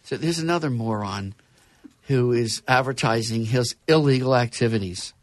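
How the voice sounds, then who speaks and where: A man's voice: mid-range voice, moderate pace, no echo. A man hosting a casual chat show, talking loosely and reading news stories aloud with wry asides. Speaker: podcast host.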